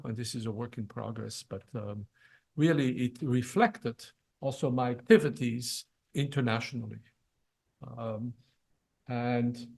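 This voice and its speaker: An older man from Belgium, a retiring professor, presenting at a ceremony, speaking haltingly with many fillers and restarts. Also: medium pitch. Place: event hall.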